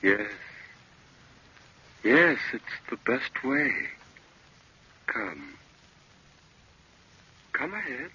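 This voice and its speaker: An actor playing an eerie, tempting phantom alter ego in a 1940s radio drama, on a dull old recording. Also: male voice, mid-range voice, sing-song, drawn-out words, pauses often, bright, forceful tone, breathy edge, heavily acted. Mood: eerie, coaxing. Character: Ethan's eerie, slow, tempting second self.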